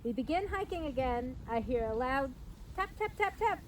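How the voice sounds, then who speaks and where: A middle-aged woman with a high voice reads a picture book to small children. She tells it simply and breaks off for short explanations. The recording is made outdoors, with clearly audible noise around her. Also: animated, moderate pace, low-quality recording. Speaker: storytime reader, parks staff. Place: outdoors at an open trailhead by a fence.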